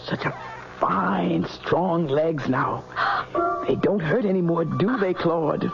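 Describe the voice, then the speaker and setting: An actor playing an eerie, possessive magician in an old radio drama, crooning in a drawn-out way over a child. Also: male voice, a little high, vocal fry. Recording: muffled old radio recording, loud music behind.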